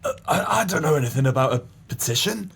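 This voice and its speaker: A man acting in an audio play as a crooked, cowardly swindler, nervous and halting with theatrical piety. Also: fairly high pitch, expressive, vocal fry, fairly bright tone, husky, full character voice. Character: jittery crooked swindler, male, falsely pious and cowardly.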